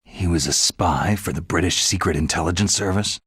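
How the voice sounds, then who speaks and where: A man playing a gruff, skeptical veteran soldier in a video game, terse and questioning, with a gravelly rasp. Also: low voice, a little fast, fairly bright tone, no echo, clean studio sound, heavily acted. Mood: grim, probing. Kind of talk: video game cutscene acting.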